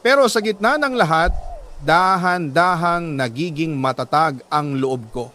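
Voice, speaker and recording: A man narrating as a 46-year-old working man who tells his life story, earnest and solemn, with dramatic pauses in a scripted delivery. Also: on the high side, pushed and bright, a little hiss or hum. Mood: reflective, sorrowful. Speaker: storyteller narrating a listener's letter.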